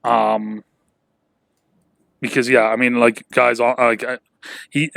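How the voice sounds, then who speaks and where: A young man in his twenties hosts a casual podcast in a middle-pitched voice. He rambles, restarts sentences and drops swear words. The sound is dry and close, with no room around it. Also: flat delivery, slow pace, vocal fry, a bit bright, dry recording. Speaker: podcast host. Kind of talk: casual chat.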